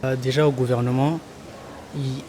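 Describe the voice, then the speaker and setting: A man's voice: medium pitch, little pitch movement, moderate pace, dark tone, a little hiss or hum. A man student speaking in a firm, plain way.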